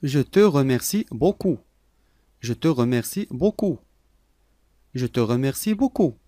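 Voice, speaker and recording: A man reading short phrases slowly and clearly for learners, repeating each sentence three times. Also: medium pitch, animated, mellow, no room sound, clean studio sound. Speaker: language lesson voice.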